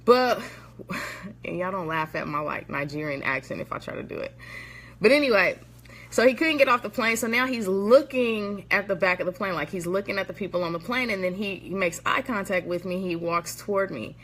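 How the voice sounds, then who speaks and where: A woman's voice: mid-range voice, moderate pace, fairly bright tone. A woman from the Bay Area, a motivational speaker, casually telling a story to viewers on a live video, chatty and laughing often. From San Francisco Bay Area.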